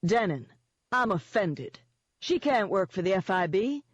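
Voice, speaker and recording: A woman's voice: medium pitch, very animated, measured pace, breathy edge, no echo, muffled recording, voice pushed up. A woman voicing a smug, gloating man in an audiobook, cheerfully taunting and firmly in control. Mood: smugly triumphant. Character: smug, gloating man wheeling and dealing by phone.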